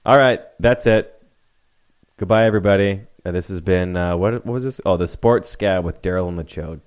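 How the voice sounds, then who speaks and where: Man, lowish voice, dry humor, podcast host wrapping up. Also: moderate pace. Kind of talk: casual chat.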